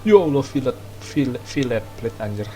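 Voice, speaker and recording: A man's voice: medium pitch, lively intonation, brisk, rough recording. A man with an Indonesian accent giving casual, slangy gameplay commentary in an offhand way. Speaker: gaming streamer. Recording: loud game music behind.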